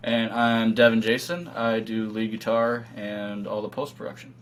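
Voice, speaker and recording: A man's voice: lowish voice, steady tone, normal speed. A man, a band musician, chatting casually as an interview guest. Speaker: band guitarist being interviewed. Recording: clear background hum and noise.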